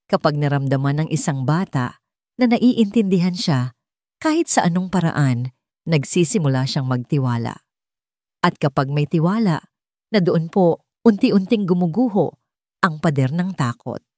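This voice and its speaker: Woman reading as a polite grieving mom working as a maid, earnest, low mellow voice, audiobook drama. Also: lively intonation, normal speed, pauses often, no echo, studio quality. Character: respectful grieving mother, housemaid and former teacher.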